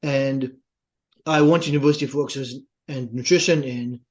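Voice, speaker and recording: A man's voice: mid-range voice, fairly even intonation, brisk, darkish tone. A young man from Israel with an Israeli accent, a fitness trainer telling his story earnestly as a podcast guest. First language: Hebrew. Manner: earnest storytelling, often adds "right?". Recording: dull, lo-fi sound.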